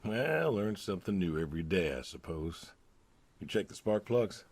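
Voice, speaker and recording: A man's voice: deep voice, big pitch swings, normal speed, a little mellow, slightly rough, full character voice. A man playing an aging, wistful, plainspoken pig farmer, terse and folksy in naturalistic video game dialogue. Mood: reserved, wistful.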